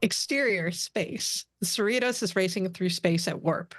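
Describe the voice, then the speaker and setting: A woman's voice: medium pitch, unhurried, no echo, studio quality, faint music behind. A woman narrating a script at a casual table read.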